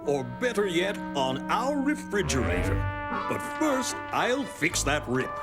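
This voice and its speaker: A woman voicing a cheerful, fussy mother in a cartoon, musing to herself in drawn-out phrases. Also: fairly low pitch, very animated, loud music behind the voice. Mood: admiring.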